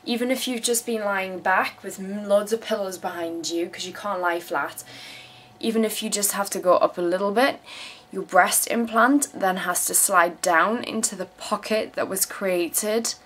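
Young woman from south africa doing a chatty q&a vlog at home, blunt and a bit sweary, average pitch. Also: moderate pace, a bit bright. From South Africa, moved to England in her teens. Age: 20s. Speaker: vlogger. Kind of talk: casual chat answering viewer questions. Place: small room at home, indoors. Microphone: camera mic at about arm's length.

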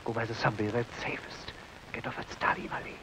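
Actor as a quick, hushed helper, whispering urgent instructions; noisy old film sound. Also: male voice, mid-range voice, little pitch movement, gravelly, natural voice.

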